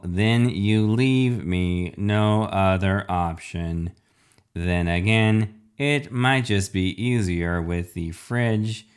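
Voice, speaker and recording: A man voicing a menacing refrigerator robot villain in a storybook, speaking slowly and deliberately with cold threat. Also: low voice, clear, resonant tone.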